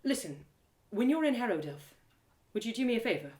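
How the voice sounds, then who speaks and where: A woman voicing an awkward, earnest elf woman in a storybook reading, with a hesitant, halting manner. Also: fairly high pitch, very animated, darkish tone, voice pushed up. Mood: sheepish.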